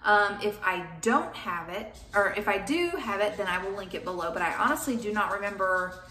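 A woman's voice: medium pitch, sharp tone. A woman, casually chatting through her grocery haul and weekly meal plan at home. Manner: chatty, friendly, quick asides. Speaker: homestead vlogger. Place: home kitchen. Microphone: camera a few feet away.

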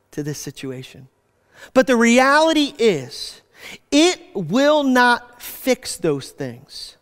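A man preaching from a church stage, unhurried and deliberate, with long reverb trailing his words through the hall. He talks with animation, and his voice sinks low at the close of each phrase. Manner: animated, gesturing, voice drops low at phrase ends. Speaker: pastor. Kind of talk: sermon. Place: church stage with screens, indoors.

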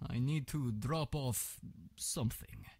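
An actor playing a stern, grouchy middle-aged man in a visual novel game, with a gravelly, husky voice. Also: medium pitch, slow, dark tone, dry recording, clean studio sound.

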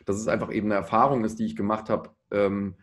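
A man's voice: fairly low pitch, flat delivery, quick pace. A man in his thirties speaking reflectively in a panel discussion, tentative, in long winding sentences. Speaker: pop musician on a discussion panel.

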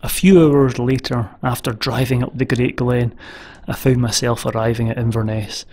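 A man's voice: fairly even intonation, moderate pace. Scottish man, forties, chatty vlog, rambling, small laughs, inside a car. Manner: hushed and low at bedtime. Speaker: vlogger. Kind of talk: casual vlog to camera. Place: inside a parked car at night; lying in bed in the back of a car. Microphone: camera on dashboard close up.

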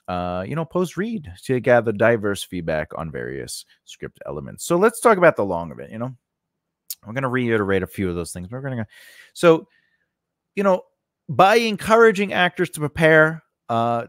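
A man in his forties hosts a lesson in a mid-pitched voice, recorded close on a studio microphone with very little room sound. He talks informally and haltingly, often rewording his points as he explains them. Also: no echo. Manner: conversational, halting, often rephrasing himself. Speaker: host of a scriptwriting lesson. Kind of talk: informal instructional talk to camera. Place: small indoor recording space. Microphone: close studio microphone on a stand.

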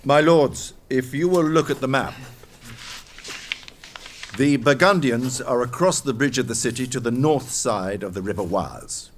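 A man playing an orderly medieval French captain in an audio drama, briefing in a formal, measured way. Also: medium pitch, a little hiss or hum, lightly acted. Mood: commanding, methodical.

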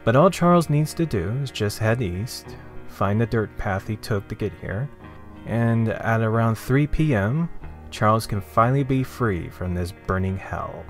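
A man's voice: fairly low pitch, animated, a little slow, soft background music. A man giving dramatic scripted story narration in the theatrical manner of a showman.

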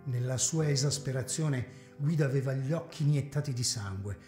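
Male narrator for a radio serial, mellow tone, echoey hall. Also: mid-range voice, normal speed, soft background music. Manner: expressive, theatrical reading. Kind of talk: dramatic serial novel narration.

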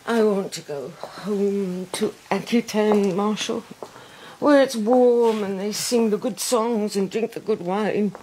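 An actress playing a proud, weary ageing queen in a historical audio drama, imperious and dryly sharp-tongued. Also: medium pitch, takes it slowly, a little hiss or hum, natural voice, poor audio. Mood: weary, wry. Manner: imperious, dry, wry. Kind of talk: British-made historical radio drama acting.

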